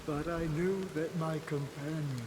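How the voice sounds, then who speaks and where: A weary man in a video game story, voiced by an actor, speaks slowly and haltingly in a slightly high voice. He sounds wistful and full of regret at the end of his life, with steady rain ambience behind him. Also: noisy background, close to an everyday voice. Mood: regretful. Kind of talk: dramatic video game voice acting.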